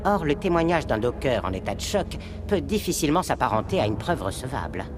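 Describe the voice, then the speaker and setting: An actor playing a dismissive alien councillor in a French video game, formal and condescending. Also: male voice, medium pitch, big pitch swings, a little fast, over music, close to an everyday voice.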